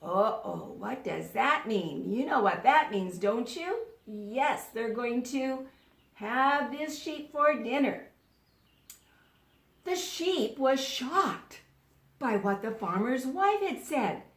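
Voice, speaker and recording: A woman teaching young children in a read-aloud lesson, speaking deliberately and instructively, in the manner of an elementary school teacher. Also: fairly high pitch, lively intonation, vocal fry. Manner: deliberate, instructional, repeats words for pupils to echo. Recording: roomy, echoing sound.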